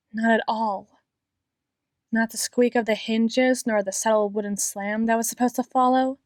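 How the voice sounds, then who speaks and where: A woman playing an obsessive, menacingly tender doctor in an ASMR roleplay, speaking in a deliberate, theatrical monologue with drawn-out words. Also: on the high side, no room sound, studio quality. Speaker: audio roleplay performer.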